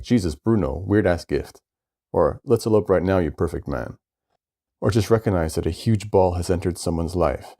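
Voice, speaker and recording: Male story reader, low and creaky, conversational delivery of first-person fiction. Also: warm tone. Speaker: author reading own short story.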